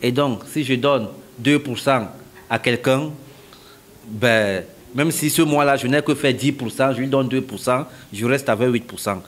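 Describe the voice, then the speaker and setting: A man's voice: mid-range voice, a little slow. A middle-aged man from Cameroon answering interview questions, explaining step by step and repeating phrases for emphasis. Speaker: interviewee, company founder.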